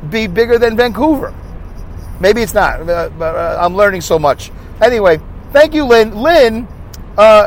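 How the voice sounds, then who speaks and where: A man with a Brooklyn accent hosting a rambling solo podcast while driving, chatty and self-mocking, asking himself rhetorical questions. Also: sits high. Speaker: podcast host. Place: inside a car while driving on a highway. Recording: faint road noise in a car. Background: road and car noise.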